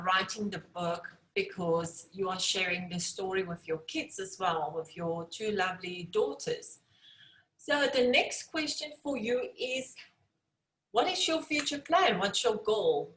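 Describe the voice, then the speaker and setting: Female interviewer, average pitch, affirming and conversational, with noticeable room echo on the recording. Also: fairly bright tone. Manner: encouraging, affirming. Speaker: interviewer, host. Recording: roomy, echoey sound.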